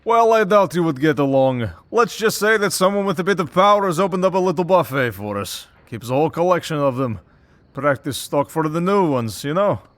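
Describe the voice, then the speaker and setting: A man's voice: on the high side, lively intonation, brisk, lightly acted. A man voicing a cocky, predatory vampire villain in an audio roleplay, with a mocking, drawling, theatrical delivery. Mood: gloating, teasing. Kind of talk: scripted audio roleplay, ASMR drama.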